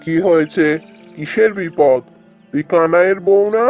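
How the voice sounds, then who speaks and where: A man's voice: fairly high pitch, a little slow, darkish tone, faint music behind. A man voicing a stern, shrewd village landlord in a cartoon fairy tale, talking down in a commanding way. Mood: stern, bargaining. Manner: commanding, addresses villagers as inferiors.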